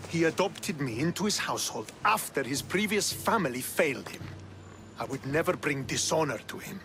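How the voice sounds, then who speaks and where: An actor playing a proud, earnest young Spartan with a formal way of speaking in a video game. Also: male voice, a little high, lively intonation, normal speed, fairly bright tone, faint music behind. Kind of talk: dramatic video game acting.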